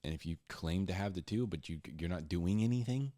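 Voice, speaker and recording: Very deep-voiced man teaching casually. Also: expressive, a little fast, warm tone. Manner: informal, slangy, repeats words for emphasis; acts out imagined back-and-forth dialogues. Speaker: Bible teacher and storyteller. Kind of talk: casual, conversational Bible teaching.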